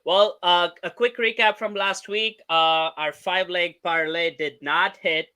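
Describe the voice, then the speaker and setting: A man hosting a casual sports podcast, upbeat, leading the chat with quick questions. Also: sits high, steady tone, bright, forceful tone, clean tone. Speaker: podcast host.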